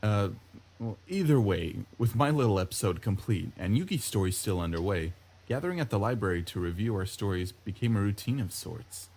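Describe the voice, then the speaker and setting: A man's voice: fairly low pitch, expressive, a little fast, a little mellow, breathy edge. A man reading first-person visual novel narration aloud in a wry, reflective way. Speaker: let's play host reading aloud. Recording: guitar music behind the voice. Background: plucked guitar music.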